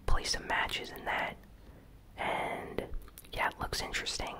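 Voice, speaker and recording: Someone whispering a gaming news recap in ASMR style, with a breathy, unvoiced voice and casual, informal phrasing. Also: low-quality recording. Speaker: gaming news channel host.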